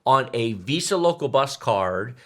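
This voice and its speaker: Male co-host, 40s, casual live stream storyteller with very lively, swooping intonation and emphatic, enthusiastic delivery. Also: medium pitch, measured pace. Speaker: tech show co-host.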